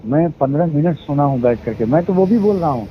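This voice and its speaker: A man's voice: a little high, animated, brisk, slight background noise. A man, explaining himself at length on a phone call and citing religious sayings to defend his actions. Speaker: event organizer on a phone call. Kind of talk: heated phone conversation. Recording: tinny phone call recording.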